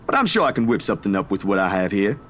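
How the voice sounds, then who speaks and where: A man playing a friendly young male photographer in a video game, with a casual, chatty, expressive delivery. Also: on the low side, racing, slight background noise. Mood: casual, hospitable. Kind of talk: naturalistic video game voice acting.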